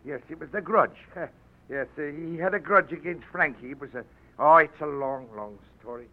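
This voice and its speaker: A man's voice: a little high, moderate pace, slight background noise, close to an everyday voice. An actor playing a boastful, panicky drunken informer, stammering as he talks, in a 1940s radio drama on a muffled old broadcast. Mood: flustered. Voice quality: blustering, stammering.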